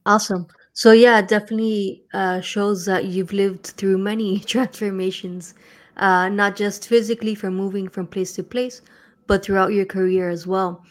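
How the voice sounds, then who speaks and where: A woman's voice: medium pitch, steady tone, moderate pace. A woman hosting a business podcast, welcoming a guest and asking friendly questions with fillers. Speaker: podcast host. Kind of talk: podcast interview.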